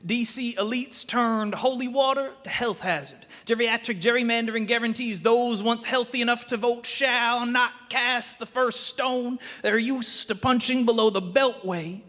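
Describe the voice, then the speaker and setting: A man's voice: extremely high pitch, fairly even intonation, a little slow, few pauses, pushed and bright, big-room sound. A man from the Washington, D.C. area performing slam poetry in a punchy, emphatic way. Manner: punchy, wordplay-heavy, emphatic delivery.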